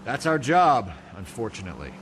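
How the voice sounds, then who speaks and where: A man's voice: mid-range voice, sing-song, brisk, close to an everyday voice. An American man playing a dutiful 1940s police detective in a video game, dry and resigned. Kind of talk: dramatic video game cutscene acting. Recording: loud vehicle noise behind dialogue.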